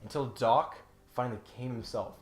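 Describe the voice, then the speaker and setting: A man's voice: mid-range voice, normal speed. A man hosting a comedic critique, narrating from a script in a mock-serious way with exasperated, sardonic asides. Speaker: host of a comedic critique video. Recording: roomy sound with faint music behind. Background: faint music.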